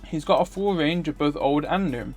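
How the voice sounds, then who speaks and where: Male game streamer, slightly high voice, scripted first-person narration with faint music behind. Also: moderate pace, a little mellow. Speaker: streamer reading a visual novel aloud. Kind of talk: scripted narration read aloud.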